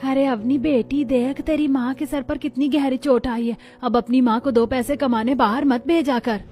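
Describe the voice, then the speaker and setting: A woman's voice: sits high, fairly even intonation, normal speed, warm tone, lightly acted. Cartoon role: practical neighbour auntie, played by a woman. Advising, matter-of-fact, music underneath. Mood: practical advice.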